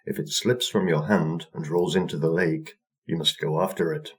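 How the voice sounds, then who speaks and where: A man's voice: deep voice, steady tone, normal speed, close to an everyday voice. Man voicing a stern storybook stepmother. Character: stern, angry, cruel stepmother.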